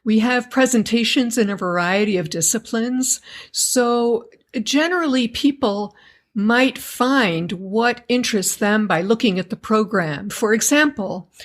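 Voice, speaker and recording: A woman from the United States, a guest in an interview, speaking in a measured, thoughtful way about her upbringing and her organization. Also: medium pitch. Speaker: guest, educators association member. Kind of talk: conversational interview.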